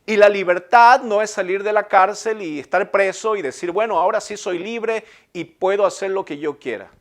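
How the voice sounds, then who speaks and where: Ecuadorian pastor, male, high-pitched, emphatic sermon delivery with repeated lines and questions. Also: moderate pace, a bit bright. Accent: Ecuadorian Spanish, Guayaquil. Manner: emphatic, repetitive preaching with rhetorical questions.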